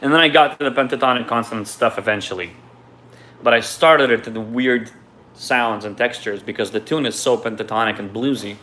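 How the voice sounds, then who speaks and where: A man's voice: mid-range voice, a little fast, bright, forceful tone, a little hiss or hum. A man from Ukraine, raised in Israel, reads viewer questions aloud on a live stream and answers them in a loose, candid way.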